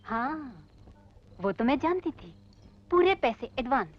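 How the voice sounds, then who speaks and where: A woman playing a haughty, skeptical character in a drama, sharp-tongued and dismissive. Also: high-pitched, sing-song, measured pace, dull audio. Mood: challenging. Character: haughty, skeptical young woman, scornful of the stranger.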